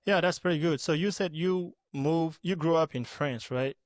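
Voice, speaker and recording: A man's voice: a little high, normal speed, dry recording. A man hosting an interview podcast, introducing the show and putting questions to a guest. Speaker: podcast host.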